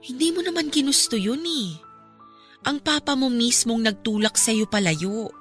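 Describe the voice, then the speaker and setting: A woman's voice: on the high side, expressive, a little slow, faint music behind. A woman playing a caring, steadfast young woman who consoles and encourages, in an earnest radio drama style. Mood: consoling. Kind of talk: Filipino radio drama acting.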